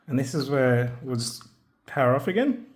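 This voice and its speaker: A man narrating a hands-on assembly tutorial in a casual, careful, unscripted way, pausing as he works. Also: mid-range voice, sing-song. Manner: unscripted, careful, halting while working with hands. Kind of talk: casual step-by-step instructional narration. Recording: background noise with clicks and handling sounds.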